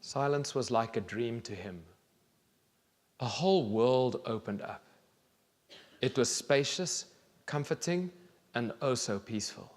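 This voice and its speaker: A man in his thirties reads a children's story aloud on stage in a deliberate, expressive way with pauses. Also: mid-range voice. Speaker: children's author reading aloud. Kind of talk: storybook reading to an audience. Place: large theatre stage, indoors. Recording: reverberant theatre hall.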